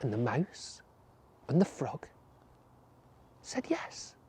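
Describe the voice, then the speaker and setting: A storyteller narrating a folk tale for children in a gentle way, dropping to a whisper or drawing words out for effect. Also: mid-range voice, very animated, slow pace, slight background noise.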